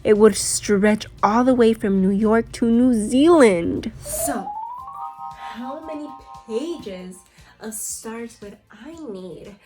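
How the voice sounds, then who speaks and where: A woman's voice: on the high side, slow, a little mellow, faint music behind. A woman teaching young children through a read-aloud lesson, reading from a book and prompting pupils with questions and short instructions. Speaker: second-grade teacher.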